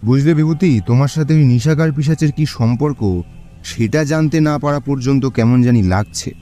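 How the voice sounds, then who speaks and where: A man's voice: mid-range voice, moderate pace, dark tone, soft background music, natural voice. An actor playing a curious, friendly man chatting conversationally in an audio story. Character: curious, friendly male companion of the narrator.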